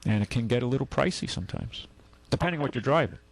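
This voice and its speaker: A man's voice: mid-range voice, expressive, quick pace. A man hosting a call-in radio show, giving folksy, rambling car advice and correcting himself as he goes.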